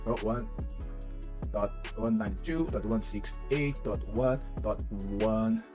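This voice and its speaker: A man's voice: on the low side, slow, over music. Chatty Brazilian man teaching networking. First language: Portuguese. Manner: chatty, many fillers, acts out little dialogues. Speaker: networking instructor. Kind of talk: casual tutorial explanation.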